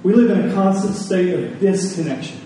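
Echoey, mellow-voiced man preaching. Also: sits high, moderate pace. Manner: rhetorical questions and repeated phrases for emphasis. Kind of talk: sermon to a congregation. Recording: very echoey room, distant mic, faint noise.

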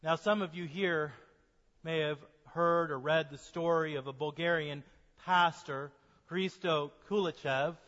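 A high-voiced male pastor delivers an earnest sermon and draws out his key phrases. His voice carries a long reverb from the church sanctuary, and the recording sounds dull and muffled. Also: fairly even intonation, fairly bright tone. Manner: earnest preaching, slows for emphasis.

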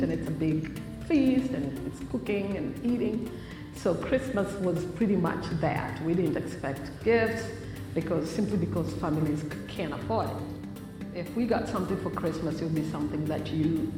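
Low-voiced woman sharing a testimony. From Kenya. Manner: reflective, heartfelt storytelling. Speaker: testimony speaker in a church video. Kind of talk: personal testimony. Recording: video played in echoey hall, distant, with music.

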